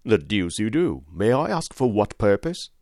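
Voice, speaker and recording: A skeptical, measured lawyer in a classic storybook, voiced by a male narrator. His lines are grave and gently ironic, with an animated rise and fall and a close, dry sound. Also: on the low side, no echo, voice pushed up. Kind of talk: audiobook reading of a classic novel.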